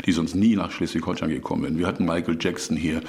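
A man's voice: deep voice, fairly even intonation, quick pace, gravelly, darkish tone. A man recalling events in an unscripted interview, colloquial and emphatic.

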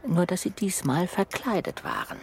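A man narrating a children's radio play in an animated storytelling style, with a gravelly voice. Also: high-pitched, moderate pace, slight background noise, exaggerated voice.

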